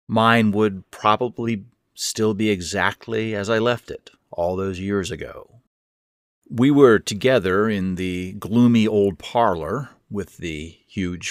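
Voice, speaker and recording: A man's voice: lowish voice, a bit bright, no room sound, studio quality. A man narrating scripted first-person horror fiction as its author and reader.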